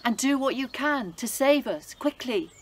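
A man's voice: very high voice, lively intonation, a little slow, fairly bright tone, pitched above the performer's own voice, full character voice. A woman voicing an earnest older uncle who pleads insistently in a read-aloud Bible story. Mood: urgent. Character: urgent older male uncle, earnest and devout.

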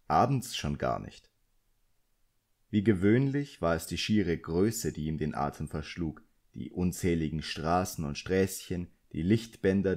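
Low-voiced male audiobook narrator. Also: a little mellow. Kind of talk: scripted literary narration.

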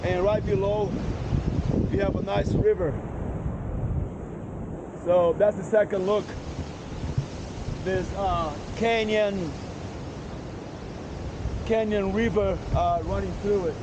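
A man's voice: high-pitched, steady tone, takes it slowly, long pauses. A man narrating a travel vlog in a casual, rambling, informal way. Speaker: travel vlogger. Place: outdoors at roadside scenic stops. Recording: windy, noisy outdoor recording.

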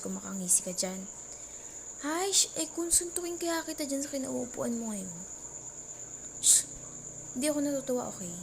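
A woman's voice: on the high side, gravelly. A woman playing a mildly jealous, playful young girlfriend with a Filipino accent in an intimate, teasing roleplay audio. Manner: teasing, mock-threatening, sarcastic, switching between Tagalog and English. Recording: noisy track with cricket and insect sounds.

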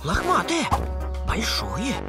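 A woman's voice: medium pitch, wildly swooping pitch, slow pace, over loud music. A woman voicing a friendly, playful child dragon in a children's cartoon, exclamatory and theatrical. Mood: eager, playful.

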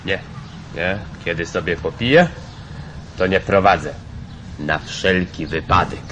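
An actor playing a blunt, irritable company director in a radio drama, familiar and self-assured. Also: male voice, sits low, unhurried, a bit bright, slight background noise. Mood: casual, probing. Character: blunt, irritable male company director, sociable after drinks. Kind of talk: naturalistic Polish radio drama acting.

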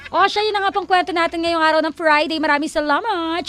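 A woman's voice: very high voice, quick pace, background noise. A woman radio DJ co-hosting a casual call-in chat show, reading listener messages and laughing often. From Philippines. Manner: animated, bursts into loud laughter. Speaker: radio DJ co-host.

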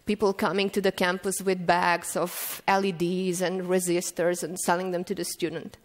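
A woman's voice: on the low side, level tone, normal speed. A woman in her thirties giving a keynote at a lectern, telling stories at an easy pace with frequent ums and restarts. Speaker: keynote speaker. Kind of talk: conference talk. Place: conference stage, indoors. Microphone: stand mic at lectern. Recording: reverberant hall.

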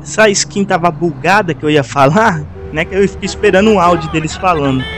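Male Brazilian game host, high and lively voice, casual commentary over noisy traffic and sirens. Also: fairly quick. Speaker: gaming video host. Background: game sounds, cars and sirens.

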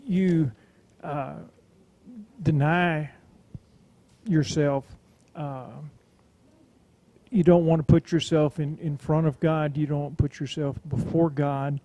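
A man giving hesitant, halting comments on a devotional panel, with many restarts. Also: fairly high pitch, steady tone, warm tone. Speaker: church member.

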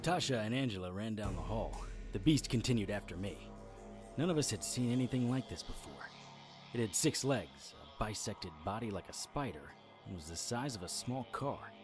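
Radio drama narrator: battle-worn male hero played by a man, measured, slightly low, light underscore. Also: lively intonation, over music, close to an everyday voice. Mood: grim, tense. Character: male hero recounting his monster battles, grim. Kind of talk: first-person scripted radio drama narration.

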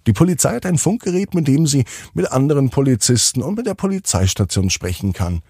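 A man narrating a scripted children's bedtime story in a gentle, unhurried way, with deliberate pauses. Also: medium pitch, sing-song, husky, dry recording, clean studio sound.

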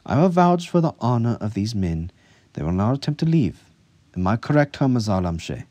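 A man's voice: on the low side, normal speed, darkish tone, no room sound, voice pushed up, close to an everyday voice. A man voicing a firm, earnest female healer with a formal, insistent manner in an audiobook story. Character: earnest, firm healer sister, a woman of authority.

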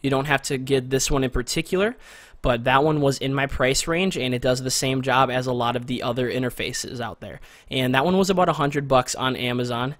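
A young man talks casually into a close condenser mic, rambling a little and repeating words for emphasis. His voice sits at an ordinary pitch, masculine without being deep. The sound is dry and close, recorded in a small bedroom. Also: fairly quick, fairly bright tone, dry recording. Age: young adult. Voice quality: not very deep but masculine voice. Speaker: gear reviewer. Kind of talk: casual product walkthrough. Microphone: studio condenser mic with pop filter, close.

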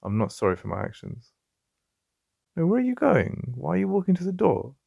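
A man with an English accent playing a jealous, possessive yet vulnerable boyfriend in an intimate ASMR roleplay, halting and conversational with a gravelly voice. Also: a little high, huge pitch swings, warm tone, no room sound, studio quality, heavily acted. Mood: agitated, insistent. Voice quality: gravelly, creaky. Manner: halting, repeating himself, conversational. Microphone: close, dry studio-style mic.

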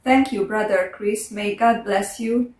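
A woman from Romania calmly giving a testimony from her living room couch. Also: mid-range voice, unhurried, slight background noise. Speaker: testimony giver. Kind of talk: calm video testimony. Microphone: camera a few steps away. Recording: echoey room, distant mic.

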